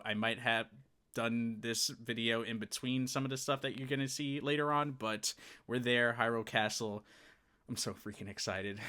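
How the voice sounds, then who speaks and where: A man's voice: mid-range voice, bright, forceful tone. A man talking casually and informally to viewers as the host of a gaming channel, rambling a little. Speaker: gaming video creator and host.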